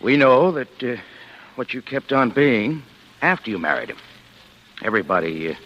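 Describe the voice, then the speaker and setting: An actor playing a wry, skeptical homicide lieutenant in a 1940s radio drama, with a sardonic, needling, colloquial delivery. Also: male voice, mid-range voice, very animated, moderate pace. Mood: blunt, probing. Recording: dull, lo-fi vintage radio recording.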